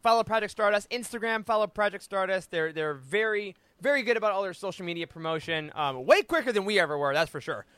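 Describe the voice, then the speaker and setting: A man's voice: sits high, expressive, fast, few pauses, a bit bright, clear, resonant tone, dry recording. A man hosting a casual panel podcast in an enthusiastic, playful manner, close on the microphone. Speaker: podcast host. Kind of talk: casual, excitable panel chat.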